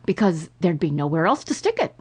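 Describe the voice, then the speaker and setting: A man voicing a fussy, self-important male rabbit in a children's storybook, with a husky tone. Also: high-pitched, huge pitch swings, fairly quick, darkish tone, voice pushed up, heavily acted. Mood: pondering.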